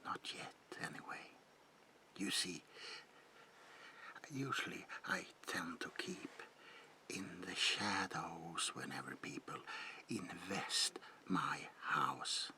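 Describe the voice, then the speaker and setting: Male actor as old vampire recluse, ASMR roleplay, crisp breathy whisper, bottomless pitch, politely menacing, lingering old-fashioned phrasing. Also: takes it slowly, pauses often, sharp tone, full character voice. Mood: coaxing, sinister.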